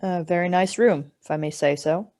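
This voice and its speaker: A young woman playing a polite, deferential male junior police officer in a radio play, speaking in a courteous, measured, formal way. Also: lowish voice, a little mellow, lightly acted. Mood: polite, curious. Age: young adult. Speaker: student actor.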